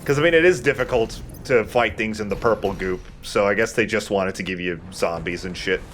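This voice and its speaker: A man's voice: lowish voice, brisk, fairly bright tone, a little hiss or hum. A man giving casual, jokey commentary while he plays a video game, swearing now and then. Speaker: let's play host playing the game. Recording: game music behind the voice. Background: video game music.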